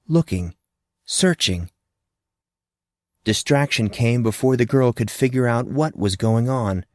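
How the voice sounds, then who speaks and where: A man's voice: mid-range voice, a little mellow, no room sound, studio quality. A man narrating a fantasy story dramatically, in short, suspenseful phrases.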